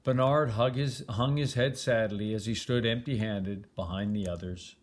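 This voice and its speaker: A man's voice: lowish voice, moderate pace. An older man reading a children's storybook aloud as a grandfather would, with expression.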